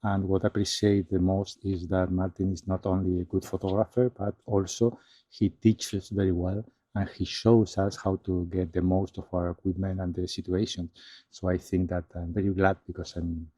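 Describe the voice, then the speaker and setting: Man, low and flat, earnest, dry sound. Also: dry recording. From Spain. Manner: steady, earnest, measured praise. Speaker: tour participant.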